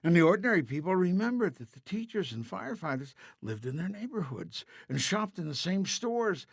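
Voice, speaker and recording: A man's voice: high voice, big pitch swings, brisk, vocal fry, breathy edge, no room sound. A man narrating a scripted fairy tale in a simple, emphatic storybook style.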